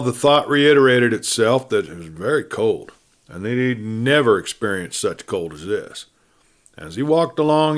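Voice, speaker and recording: A man narrating a classic adventure story in a measured storytelling way, with pauses and phrases that fall away at the end. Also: medium pitch. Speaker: audiobook narrator and host.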